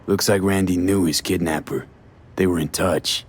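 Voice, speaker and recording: An actor voicing a hard-boiled, blunt mercenary in a cyberpunk video game, with a low, husky delivery. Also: male voice, fairly even intonation, moderate pace. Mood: matter-of-fact.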